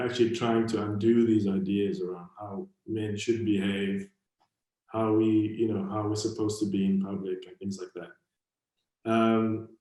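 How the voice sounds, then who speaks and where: South African man, low flat voice, rambling with fillers, very echoey room. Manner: rambling, hedging with many fillers. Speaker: moderator. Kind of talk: online panel conversation.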